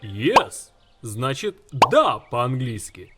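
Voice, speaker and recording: An actor voicing an animated, informative talking encyclopedia helper in a children's cartoon. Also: medium pitch, extremely slow, loud music behind the voice, full character voice. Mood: explaining.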